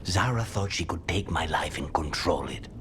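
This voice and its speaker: An actor playing a grim, honour-bound assassin in a fantasy game, terse and intense, with a breathy delivery. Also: male voice, deep voice, expressive, normal speed, fairly bright tone, a little hiss or hum. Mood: solemn, grateful. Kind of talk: scripted fantasy game dialogue.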